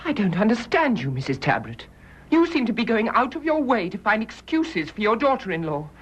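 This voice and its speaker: An actress playing a stern, censorious nurse in a radio drama, stiff and severe. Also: female voice, fairly high pitch, big pitch swings, a little fast, breathy edge. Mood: indignant. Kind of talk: British radio drama acting.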